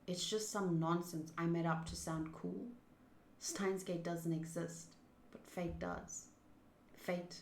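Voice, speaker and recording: A woman reads a visual novel aloud on stream and comments casually as she goes. Her voice is bright and expressive, moving between measured narration and loud reactions. The room adds a clear echo. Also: a little high. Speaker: game streamer.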